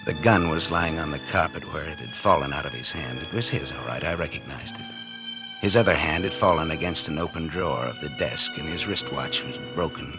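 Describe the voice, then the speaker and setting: A man's voice: rumbling low, a little fast. An actor playing a cold-blooded, scheming businessman in 1940s radio noir style, wry, calculating and dramatic. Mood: cool, calculating. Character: scheming cold-blooded businessman narrating his crime, feigning innocence. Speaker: actor narrating and playing the lead. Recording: vintage 1940s radio recording with music.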